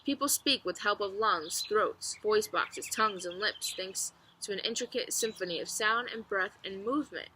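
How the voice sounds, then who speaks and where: A woman reading a children's book aloud as narrator, expressively, with clear storytelling phrasing and emphasis. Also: mid-range voice, normal speed, few pauses, sharp tone, a little hiss or hum.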